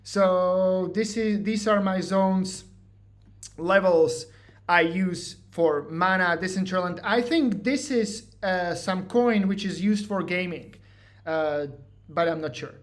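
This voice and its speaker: A man's voice: high voice, slow. A man with a Slovak accent walking through trading charts in a casual, joking way, mocking himself and filling his talk with "like" and "you know". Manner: joking, self-mocking, filler-heavy. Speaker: trading educator. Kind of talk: casual screen-share walkthrough.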